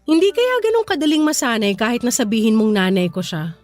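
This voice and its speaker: A woman's voice: fairly high pitch, very animated, fairly quick, a little mellow. An actress playing a guarded young woman facing her old flame in a Filipino radio drama. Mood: casual, teasing. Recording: music bed under the voice.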